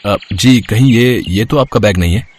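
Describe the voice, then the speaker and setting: Man acting deep, husky, earnest village father. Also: normal speed, a little mellow, lightly acted. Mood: humble, polite. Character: humble, pious, honest village father, tender and earnest. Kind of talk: animated Hindi moral story acting.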